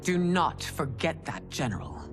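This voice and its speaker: A stern, commanding empress from a fantasy game. Her voice sits fairly low and moves through lively, dramatic rises and falls. Her speech is formal and proud. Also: normal speed, over loud music. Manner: formal, imperious. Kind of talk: dramatic video game acting.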